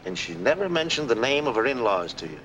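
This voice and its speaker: An actor playing a skeptical, probing investigator in dry, questioning 1940s film noir style. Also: male voice, mid-range voice, big pitch swings, quick pace, a bit bright, rough recording. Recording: old film soundtrack, faint noise.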